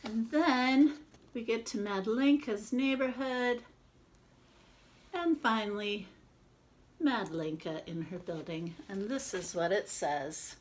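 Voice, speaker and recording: A woman reading a picture book aloud to children, expressive, with casual chatty asides to explain it. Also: mid-range voice, slow pace, a little hiss or hum. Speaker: children's read-aloud presenter.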